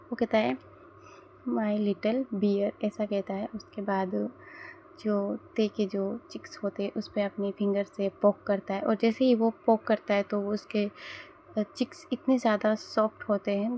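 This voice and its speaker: A woman's voice: medium pitch, mellow, slight background noise. A woman with a sore throat telling a fan-fiction story in a chatty, casual way. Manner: chatty, mixes in English words.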